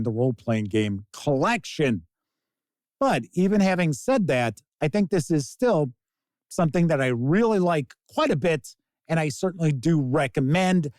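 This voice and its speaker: A man hosting a tabletop game review, talking casually to camera with an emphatic sing-song delivery and drawn-out words. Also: a little high, darkish tone, no room sound.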